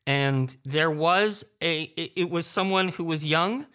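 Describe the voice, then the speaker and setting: A man's voice: fairly high pitch, takes it slowly, pushed and bright, smooth. A man speaking informally and conversationally as a panelist in a roundtable discussion.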